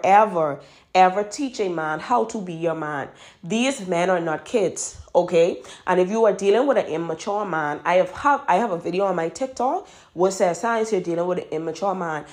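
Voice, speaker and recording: A Bahamian woman in her twenties with a Bahamian accent gives emphatic dating advice straight to her listeners and repeats words for stress. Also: lowish voice. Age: 20s. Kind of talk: casual advice monologue.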